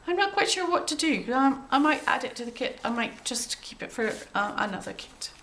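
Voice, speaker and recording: A woman with a cold, sounding a bit croaky, chatting gently through a show-and-tell of her handmade journal with frequent ums and throat clearing. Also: high-pitched, expressive, fairly quick, a little hiss or hum. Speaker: craft journal maker showing her work.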